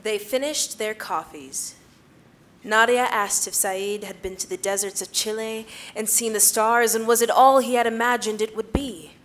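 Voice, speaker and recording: A woman's voice: a little high, fairly even intonation, moderate pace, a bit bright. A woman actor giving a dramatic reading of literary fiction in narrative prose.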